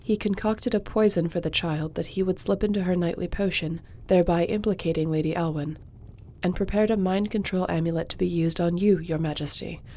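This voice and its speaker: A woman playing a loyal young sorceress who advises a king, speaking in a measured, reassuring way. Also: on the low side, steady tone, dark tone. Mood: steady. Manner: measured, reassuring, reads reports aloud. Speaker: audio roleplay performer. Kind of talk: fantasy audio roleplay.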